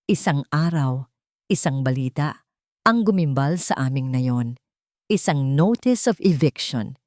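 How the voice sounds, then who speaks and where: Low-voiced woman narrating a dramatic story. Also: dark tone, no room sound, studio quality. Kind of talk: scripted first-person dramatic narration.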